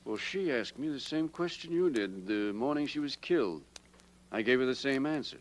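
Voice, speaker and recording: An actor playing a casual, slangy music shop owner with an offhand manner, in 1940s radio style. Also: male voice, mid-range voice, lively intonation, moderate pace, close to an everyday voice.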